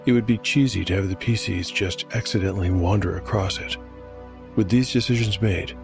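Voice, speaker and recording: A man narrating a solo fantasy role-playing show as game master, in a measured, explanatory scripted style. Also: fairly low pitch, gravelly, darkish tone. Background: music.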